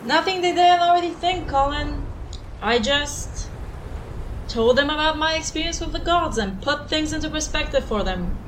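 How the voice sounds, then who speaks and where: A guarded woman with divine powers, acted by a woman speaks in a high voice in an audio drama. She is evasive and defensive, giving little away. Faint ambience runs behind her. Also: lively intonation, moderate pace, slight background noise, poor audio.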